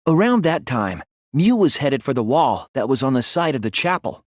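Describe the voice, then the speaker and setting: An earnest teenage boy detective from a video game. The voice is soft-edged and breathy at a medium pitch, recorded very dry. It moves expressively up and down as he lays out deductions in a deliberate, analytical way. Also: big pitch swings, no echo. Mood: analytical, composed. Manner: deliberate, earnest, analytical explaining with dramatic emphasis. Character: earnest, soft-spoken teenage boy detective reasoning through murder cases. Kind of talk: English video game voice acting, deductive monologue.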